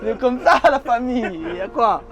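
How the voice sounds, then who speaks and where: A man's voice: very high-pitched, expressive, normal speed, loud music behind the voice. A young man with a foreign accent who did not grow up speaking French, talking casually in slang in an interview inside a limousine. He repeats phrases and laughs often.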